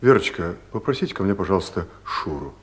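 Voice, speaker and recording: A man's voice: sits low, sing-song, fast, background noise. A man playing a suave, courteous office boss in a radio comedy drama, familiar with staff and delicately evasive. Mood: polite, businesslike. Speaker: actor in an audio play. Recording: 1970s studio radio play recording.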